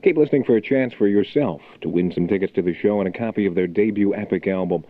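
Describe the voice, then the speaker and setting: Deep-voiced radio DJ man, thin lo-fi sound. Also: fast talker. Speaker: radio DJ, morning show host. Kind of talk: album rock radio DJ patter with local announcements. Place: radio studio. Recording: muffled phone-like 1980 radio aircheck, music behind. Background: music.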